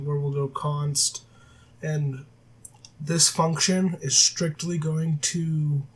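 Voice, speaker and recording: A man with a cold-roughened raspy voice, teaching a coding tutorial over a screen recording with many filler sounds and self-corrections. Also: a little high, a little mellow, slight background noise. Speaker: programming tutor. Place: at a desk, voice-over of screen capture. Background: faint keyboard typing.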